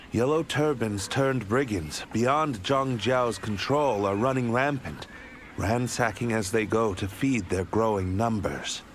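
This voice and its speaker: Slow, stern, low-voiced warlord. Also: male voice, a little hiss or hum. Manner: grave, formal, declamatory. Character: proud, stern male warlord, grandly martial. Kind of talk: video game cutscene acting.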